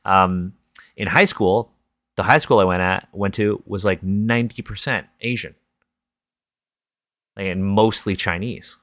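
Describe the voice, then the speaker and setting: Low-voiced Canadian man, podcast host. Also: steady tone, unhurried, long pauses, a bit bright, dry recording, clean studio sound. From Toronto, Canada. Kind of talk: casual podcast chat.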